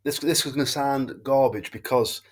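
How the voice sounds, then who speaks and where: A man's voice: mid-range voice, moderate pace. A man, stuffed up with a cold, rambling through his horse racing tips in a casual monologue and often correcting himself. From England. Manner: rambling, self-correcting, loses his thread. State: bunged up with a cold and hangover. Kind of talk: casual tipping monologue to camera.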